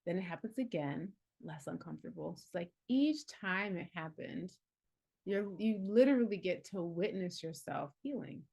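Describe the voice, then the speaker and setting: A woman in her early forties speaks in a casual, reflective way, sitting a little low in her register. She sounds earnest and encouraging, laughs readily, and leans on "like" as she talks about healing. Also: expressive, moderate pace, vocal fry, a little mellow, husky. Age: 40s. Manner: earnest and encouraging, laughs often, many "like" fillers. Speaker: herbalist and healing coach.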